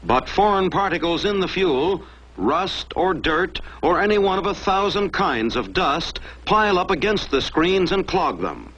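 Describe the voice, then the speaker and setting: A man's voice: high voice, lively intonation, measured pace, fairly bright tone, husky. An American man narrating a mid-century military training film from a script in a dramatic, emphatic manner. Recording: dull lo-fi old film soundtrack.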